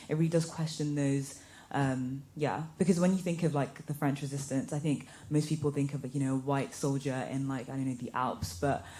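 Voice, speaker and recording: A young woman answers informally on a student panel. Her voice is low and fairly flat in pitch, and she hedges conversationally as she works through her thoughts. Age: young adult.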